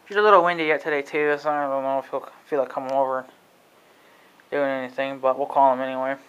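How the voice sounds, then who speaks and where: A man's voice: fairly high pitch, poor audio. A man giving casual, offhand DIY vlog commentary, thinking aloud in a folksy way as he works. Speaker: amateur DIY video maker.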